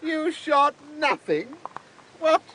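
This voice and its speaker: An actor playing a hearty eighteenth-century gentleman, a sporting companion, exclaiming in a period radio drama. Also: extremely high pitch, big pitch swings, slow pace, a little hiss or hum, full character voice, low-quality recording. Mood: teasing. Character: jovial, teasing 18th-century gentleman shooting companion.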